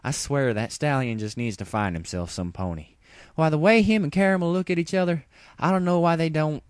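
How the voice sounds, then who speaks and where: A man's voice: a little high, a little fast, smooth, dry recording, clean studio sound, voice pushed up, close to an everyday voice. A man voicing a folksy, flustered farm mare in a storybook reading, exasperated and prone to stammering.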